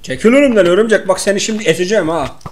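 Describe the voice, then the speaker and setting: A man's voice: sits high, rapid-fire. Exclamatory man in casual gameplay chat. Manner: exclamatory, repeats short phrases. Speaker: gameplay video player. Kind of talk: casual, slangy game chat with a co-player.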